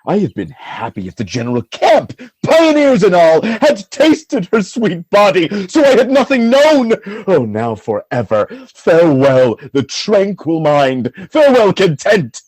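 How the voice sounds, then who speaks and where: A man playing a jealous, tormented general in a Shakespearean radio drama, husky and declamatory, swinging between hushed brooding and outcry. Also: high voice, huge pitch swings, a little slow, hardly any pauses, no room sound, heavily acted, rough recording. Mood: bitter grief.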